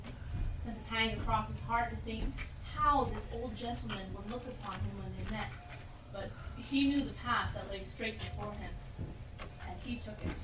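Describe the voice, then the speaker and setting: A woman's voice: mid-range voice, fairly even intonation, moderate pace, recorded from a distance, lightly acted. A woman acting as the storybook narrator of an amateur stage play, in a dramatic scripted telling. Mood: storytelling. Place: theatre stage, live performance. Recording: echoey live stage recording, clear noise.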